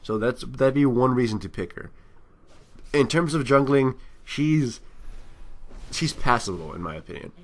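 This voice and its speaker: A man's voice: medium pitch, expressive, unhurried, pauses often. Man giving rambling, casual game commentary. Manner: rambling, thinking aloud, trailing off. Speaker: gameplay commentator.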